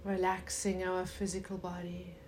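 A woman leading a guided meditation in a soothing, unhurried way with long pauses and a soft voice that turns breathy at times. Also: fairly low pitch, completely flat delivery, a little hiss or hum.

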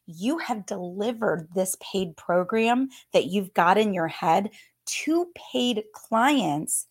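An upbeat woman talks live to her audience of coaches, chatty and often laughing. Her voice is slightly hoarse, at a middling pitch for a woman. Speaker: fitness business coach. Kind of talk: live-streamed coaching talk.

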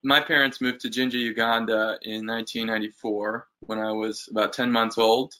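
A man in his thirties, a farmer guest in an interview, speaking thoughtfully and pausing with fillers. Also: fairly low pitch, level tone, bright, forceful tone. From United States. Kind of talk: casual interview chat.

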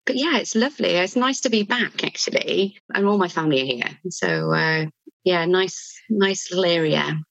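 A woman's voice: lowish voice, normal speed, creaky, fairly bright tone, dry recording. Guest: South Welsh woman, friendly and chatty, dry and muffled remote audio. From Wales, near Cardiff. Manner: friendly, chatty, a little hesitant with fillers. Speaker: guest, chartered building surveyor. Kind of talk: casual podcast interview.

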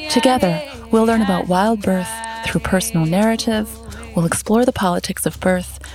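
A woman reading a scripted podcast introduction. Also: medium pitch. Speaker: podcast host and announcer. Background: music under the voice.

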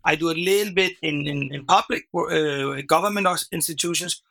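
A man whose first language is Danish, a workshop facilitator explaining his method as a podcast guest, animated and full of restarts and "right?". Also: fairly high pitch, normal speed, creaky. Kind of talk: conversational interview.

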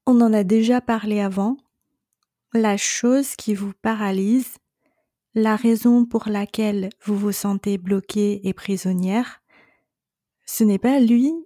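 A middle-aged woman hosts a solo coaching podcast in a calm, reassuring tone. Her voice is mellow and warm, and she takes her time over each phrase. The sound is dry and close, with no room around it. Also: medium pitch, no room sound. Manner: calm, reassuring, speaks directly to the listener. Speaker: separation coach and podcast host.

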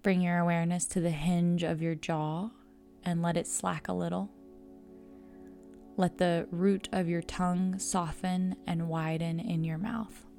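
Slow, low-voiced woman guiding meditation. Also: vocal fry, soft background music. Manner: calm, measured, soothing instruction with long pauses. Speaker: yoga nidra guide. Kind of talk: guided meditation narration.

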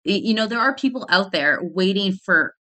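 Chatty woman, bright tone. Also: mid-range voice, expressive, moderate pace. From Canada. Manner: chatty, many "like" fillers, laughs often. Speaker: guest, fitness founder. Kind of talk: casual podcast conversation.

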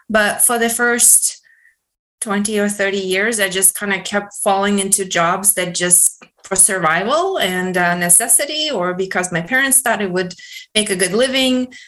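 A middle-aged woman from Russia, a neurofeedback practitioner, answering interview questions in an earnest, measured way with many pauses. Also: mid-range voice, fairly bright tone.

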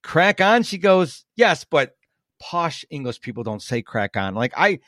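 A man from the United States hosting a podcast, chatting casually and telling animated stories with asides. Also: a little high, a little slow. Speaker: podcast host. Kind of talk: casual interview chat.

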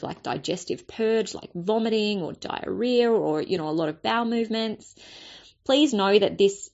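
Raspy Australian woman with a cold. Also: fairly low pitch, darkish tone, dull audio. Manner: earnest, repeats words while finding her thought. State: hoarse with a cold and blocked nose. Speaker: podcast host and spiritual business coach. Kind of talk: solo podcast monologue.

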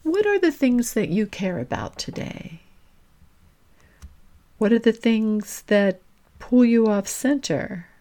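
A woman leading a guided reflection in the manner of a podcast host, gentle and deliberate, with long reflective pauses. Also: fairly high pitch, sing-song.

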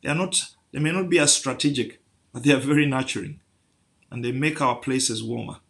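Gravelly-voiced preacher man. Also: mid-range voice, brisk, fairly bright tone. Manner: rhetorical questions, rising to emphatic peaks. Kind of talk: sermon.

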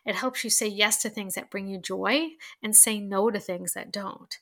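A woman talks through self-help advice in a loose, chatty way, with lots of fillers and restarts. Her voice is bright and forward but stuffed-up and nasal from allergies. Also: mid-range voice, normal speed. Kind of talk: casual advice talk to camera.

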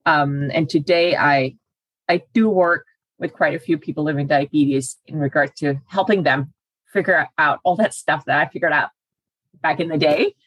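Woman in her 40s, low husky voice, dry close sound, chatty and organized. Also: normal speed, dry recording, rough recording. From Denmark. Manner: structured and chatty, laughs now and then. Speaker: guest, personal trainer. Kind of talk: podcast interview.

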